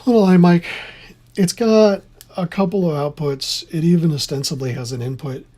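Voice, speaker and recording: An instructor of unclear sex giving an informal, opinionated tutorial in a husky, conversational voice that rambles along. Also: mid-range voice, normal speed, dark tone. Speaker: instructor in theater sound.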